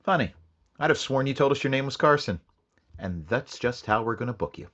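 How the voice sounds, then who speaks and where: A man's voice: mid-range voice, animated, fairly quick, a bit bright, dry recording, muffled recording. An actor playing a clipped, matter-of-fact male police detective who also narrates a radio drama. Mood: interrogating. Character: terse male police detective, steady and dry.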